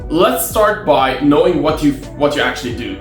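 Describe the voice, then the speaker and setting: A man's voice: fairly high pitch, expressive, normal speed. A man whose first language is Arabic, talking casually to camera and sharing advice, with frequent restarts and repeated phrases. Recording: roomy echo with faint guitar music.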